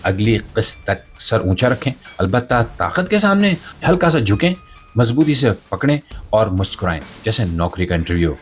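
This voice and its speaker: A man's voice: on the low side, big pitch swings, normal speed, faint music behind. A man hosting a satirical commentary show, delivering a scripted monologue in a theatrical, mock-solemn way.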